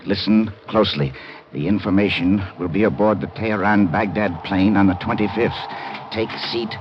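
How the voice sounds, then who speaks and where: An actor playing a field agent urgently and tersely radioing from an outpost in a 1950s radio drama, through a shortwave effect. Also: male voice, lowish voice, moderate pace. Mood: urgent, secretive. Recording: muffled, noisy old radio recording.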